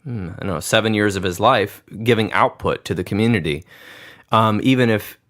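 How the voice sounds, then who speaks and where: A man's voice: fairly low pitch, brisk, a bit bright. A man hosting a podcast, musing aloud in a thoughtful, reflective way. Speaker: podcast host, grandson of the pianist. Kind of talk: conversational interview.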